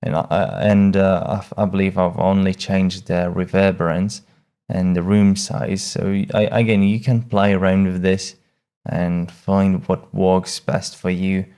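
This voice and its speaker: A man presenting a casual software tutorial in a hesitant, halting way, often repeating words as he explains each step. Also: sits low, flat delivery, smooth.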